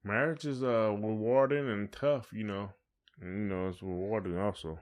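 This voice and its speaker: A man's voice: on the low side, normal speed. An American man chatting casually as a podcast co-host, opinionated and given to repeating his points. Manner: opinionated, repeats points, mimics others in acted quotes. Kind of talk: casual conversational chat between spouses.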